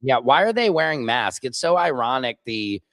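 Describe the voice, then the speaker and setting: A man's voice: mid-range voice, very animated, moderate pace, fairly bright tone, no echo, studio quality. A man hosting a political livestream, talking back heatedly to news clips and reading chat comments aloud from his home laptop setup. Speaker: livestream host.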